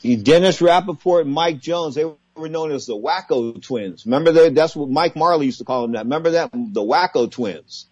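A man's voice: on the high side, moderate pace, few pauses, darkish tone, husky, no echo, muffled recording. A man in his sixties hosting a boxing radio show, effusive and sentimental, repeating his phrases for emphasis. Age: 60s. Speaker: radio talk show host.

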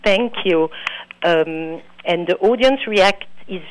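A woman from Belgium with a French accent, a documentary filmmaker answering interview questions in an earnest, halting way that repeats words. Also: fairly low pitch, low-quality recording.

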